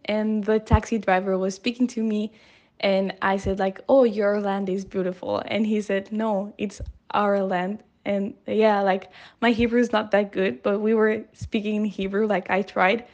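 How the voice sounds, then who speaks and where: A young woman from Ecuador telling a gentle, reflective anecdote, on a dull lo-fi recording. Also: mid-range voice. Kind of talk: casual anecdote.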